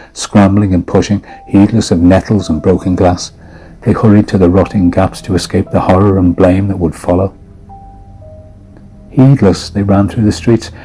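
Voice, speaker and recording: A man with a very deep, dark voice narrates horror fiction over music. His storytelling is measured, grave and dramatic. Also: rough recording.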